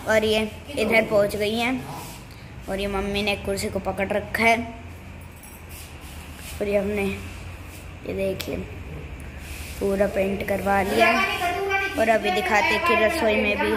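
Woman vlogger, slow and plain, home tour on a noisy phone mic. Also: medium pitch, steady tone. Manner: slow, showing things to viewers. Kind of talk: casual home tour narration. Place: inside a house.

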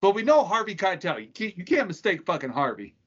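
A man's voice: sits high, huge pitch swings, fairly quick, breathy edge, highs cut off. A man in his thirties co-hosting a podcast, bantering loosely with laughter and swearing over a video call from home. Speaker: podcast co-host. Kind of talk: casual profane chat.

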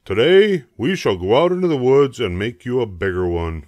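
A man's voice: mid-range voice, expressive, moderate pace, a little mellow, no echo, studio quality, voice pushed up, close to an everyday voice. Man putting on a big hearty papa bear. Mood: hearty, announcing. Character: big, hearty, confident father bear, storybook.

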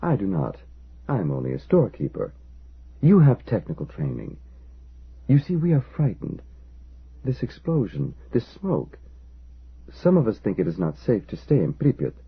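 A man's voice: on the low side, wildly swooping pitch, normal speed, long pauses, husky, full character voice. A man voicing a frightened, hesitant middle-aged storekeeper who pleads anxiously and insistently. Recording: dull lo-fi recording.

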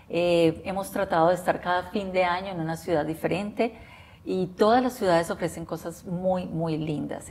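A woman in her forties from Colombia with a Colombian accent, seated indoors and talking to camera with enthusiasm, often pausing with "eh". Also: sits low, gravelly, a little mellow, slight background noise. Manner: enthusiastic, frequent hesitations with eh. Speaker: interviewee. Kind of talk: talking to camera about life abroad. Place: bright office room by large windows, indoors.

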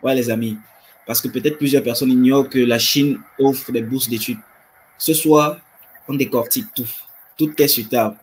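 A young man hosts a livestream in a medium-pitched voice. He is casual and enthusiastic, often repeating himself to drive a point home, speaking over a webcam call. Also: steady tone, moderate pace. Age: 20s. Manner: enthusiastic, repeats phrases for emphasis. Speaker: livestream host. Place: indoor room with wood-panelled wall. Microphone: webcam video call.

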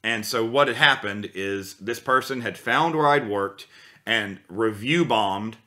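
A man in his thirties with a lowish, bright, forceful voice tells a story at length. He rambles and restarts often, keeping it animated and conversational, recorded in a small room. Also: unhurried. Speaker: guest, voice teacher. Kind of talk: casual podcast storytelling. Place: small room with guitars on the wall.

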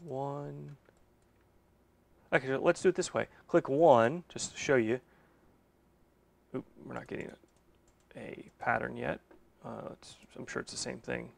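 A man in his thirties with a middle-pitched voice teaches casually while he works. He thinks aloud and corrects himself as he goes. The recording is made in a machine shop, with a faint background noise underneath. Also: steady tone, measured pace, vocal fry, long pauses. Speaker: machine shop owner and video host. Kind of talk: casual instructional walkthrough.

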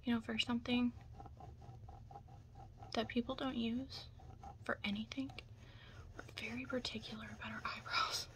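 Young woman, soft breathy ASMR, often whispered, higher-pitched, reassuring close personal attention, clear background noise. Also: flat delivery, moderate pace, long pauses. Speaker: ASMR performer playing a makeup stylist.

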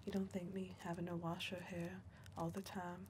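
A young woman playing a weary, defiant backwoods caretaker in a whispered ASMR roleplay, speaking softly and dryly, close to the mic. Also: lowish voice, flat delivery, normal speed, noisy background, close to an everyday voice. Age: young adult. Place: dim indoor room. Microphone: close ASMR microphone.

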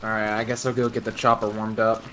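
A man's voice: on the low side, little pitch movement, fast talker, a bit bright. A man talking casually in character as a player in an online roleplay game. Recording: game voice chat with faint noise.

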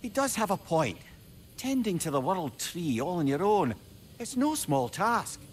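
A man's voice: high voice, very animated, a little slow, a little hiss or hum. A man playing a witty, inquisitive severed-head companion in a video game, genial and conversational. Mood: agreeable. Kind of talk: video game character acting.

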